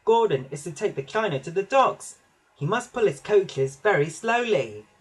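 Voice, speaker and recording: A man's voice: high-pitched, lively intonation, moderate pace, breathy edge, voice pushed up. A man voicing an eager, self-important little male steam engine for a children's storybook, bossy and earnest. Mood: excited.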